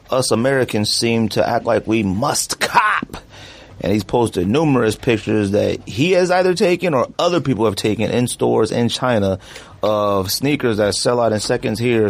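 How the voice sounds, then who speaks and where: A man hosting a sneaker podcast, talking in slangy, joking casual chat. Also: medium pitch. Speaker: podcast host.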